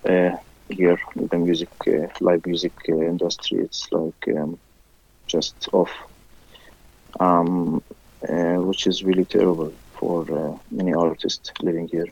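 A man whose first language is Arabic, a musician interviewed in English, who talks haltingly with many fillers and restarts. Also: low-pitched, little pitch movement, dark tone, no echo, muffled recording. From village near Haifa, raised there from first grade. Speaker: musician guest.

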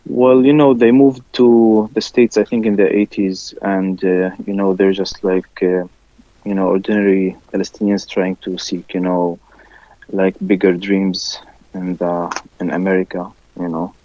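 A man whose first language is Arabic, a musician interviewed in English, who talks haltingly with many fillers and restarts. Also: deep voice, little pitch movement, dark tone, no echo, highs cut off. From village near Haifa, raised there from first grade. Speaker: musician guest.

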